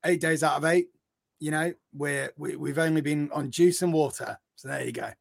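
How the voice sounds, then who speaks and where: A man's voice: fairly high pitch, moderate pace, vocal fry. A man chatting casually on a podcast in a playful, rambling manner. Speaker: podcast panellist. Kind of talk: casual chat.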